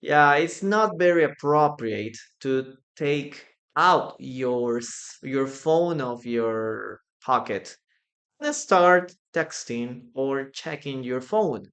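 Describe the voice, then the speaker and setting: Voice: medium pitch, expressive, a bit bright. An English teacher whose first language is Spanish, giving an encouraging live online class and repeating phrases for the students. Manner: slow, careful delivery.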